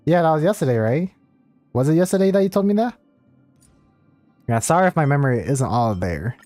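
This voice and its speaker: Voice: mid-range voice, sing-song, fairly quick, darkish tone, dry recording. Easygoing game streamer chatting over music. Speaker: livestreamer. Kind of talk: casual game livestream chat and commentary. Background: video game music.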